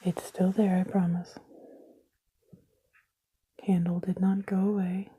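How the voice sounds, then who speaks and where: A woman whispering throughout an ASMR video indoors at a table, making sarcastic, self-mocking jokes in a soft unvoiced whisper, recorded close up on a camera mic. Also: on the low side, little pitch movement, slow, slight background noise, poor audio.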